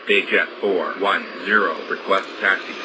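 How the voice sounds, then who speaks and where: A man's voice: low-pitched, fairly even intonation, normal speed, lightly acted. A man voicing clipped, procedural air traffic radio calls in a scripted game. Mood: calm, businesslike. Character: airport radio voice giving clipped pilot and controller calls. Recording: loud aircraft noise behind. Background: loud airplane engine and airport ambience.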